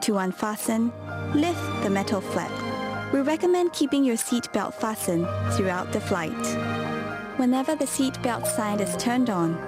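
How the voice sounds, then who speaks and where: High-voiced woman narrating over loud music. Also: expressive, measured pace. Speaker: safety video narrator. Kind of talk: scripted instructional narration.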